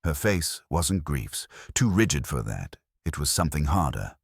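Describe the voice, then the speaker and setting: A man's voice: rumbling low, sing-song, moderate pace, vocal fry, husky, no room sound, studio quality. A man reading a scripted first-person detective story as an audiobook narrator.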